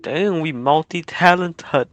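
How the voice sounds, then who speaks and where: A man's voice: a little high, slow. A man livestreaming a video game, chatting casually to himself and his viewers in a rambling way, often repeating phrases. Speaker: game live streamer. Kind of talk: casual livestream chat while gaming. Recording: loud game music behind voice. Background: video game music and instrument notes.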